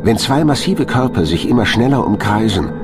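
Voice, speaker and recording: Low-voiced man narrating a documentary. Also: animated, moderate pace. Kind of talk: scripted science documentary narration. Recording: loud music bed under narration. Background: loud background music.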